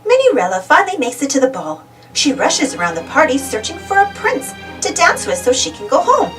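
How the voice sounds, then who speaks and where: A woman reads a children's story aloud in a high voice. Her narration swings widely in pitch. The mic sounds a little removed, and music plays behind her. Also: wildly swooping pitch, fairly quick, a bit bright, far from the mic. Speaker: storybook reader.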